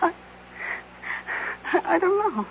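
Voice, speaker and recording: An actress playing a shaken, distressed woman in a radio drama, with halting, overwrought delivery. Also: very high-pitched, big pitch swings, vocal fry, phone-quality sound, exaggerated voice. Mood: stunned dread. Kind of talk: 1970s radio drama acting. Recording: thin, band-limited old radio broadcast, faint noise.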